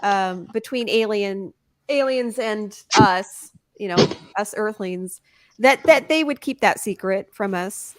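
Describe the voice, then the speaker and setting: A woman with a naturally high-pitched voice, talking in a halting, casual way on a panel and laughing often. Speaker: panel guest.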